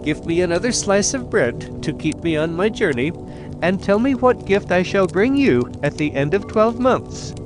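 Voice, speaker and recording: A man's voice: high-pitched, expressive, moderate pace, over music, voice pushed up. A man voicing a magical talking cuckoo in a fairy tale, a wise and proud herald of spring with lofty, formal, old-fashioned speech. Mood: lofty, earnest.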